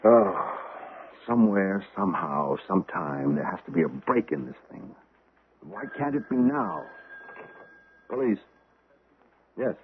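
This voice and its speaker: A driven, excitable cop in a vintage radio drama, intense and clipped as he commands. His pitch swings widely, heard through a phone-like old broadcast with faint noise. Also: measured pace, pauses often. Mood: impatient, straining. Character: driven, excitable policeman, commanding and hungry for the arrest.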